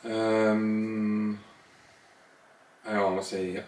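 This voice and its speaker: A man's voice: on the low side, little pitch movement, very slow, darkish tone. A man co-hosting a podcast, giving short, dry joking replies. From Northern Ireland. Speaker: podcast co-host. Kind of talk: casual chat. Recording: echoey room, mic at a distance, faint noise.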